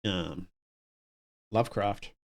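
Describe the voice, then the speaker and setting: A man's voice: sits low, takes it slowly. Man rambling casually on a livestream. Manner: rambling, musing aloud, trailing off. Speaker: live streamer chatting with viewers. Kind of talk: casual off-the-cuff livestream chat.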